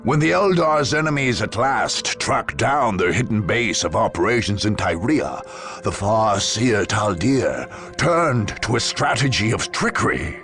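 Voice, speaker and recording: A solemn chronicler of war for a video game. His delivery is slow and theatrical, rising and falling widely over prominent music. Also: on the low side, lively intonation, fairly bright tone. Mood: grave, scornful. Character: grave male war chronicler. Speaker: narrator. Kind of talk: dramatic scripted game narration.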